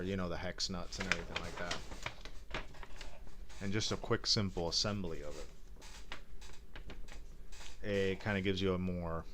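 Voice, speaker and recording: A man's voice: low-pitched, background noise. A man hosting a live stream in a casual, unscripted way, rambling loosely as he shows gear. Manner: rambling, loose, informal phrasing. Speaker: live stream host reviewing music gear. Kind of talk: casual unscripted unboxing demo talk.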